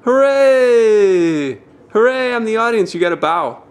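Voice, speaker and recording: A parent speaks as the audience at a child's homemade show. The voice sits at a middling pitch and is loud and playful, prompting and cheering with exaggeration. It is captured on an amateur home video. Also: animated, takes it slowly, clear, resonant tone. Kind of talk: playful encouraging chat with a child.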